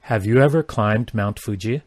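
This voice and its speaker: A man reading simple English practice sentences aloud, each one twice, in a careful, even delivery. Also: on the low side, moderate pace, no room sound. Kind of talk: slow repeated sentence reading for learners.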